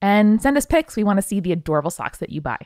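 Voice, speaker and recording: A woman's voice: medium pitch, big pitch swings, fast talker, a little mellow, no echo, studio quality. A woman from the United States co-hosting a podcast, chatty and effusive, with informal talk and host-read ads. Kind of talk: casual chat and conversational host-read ads.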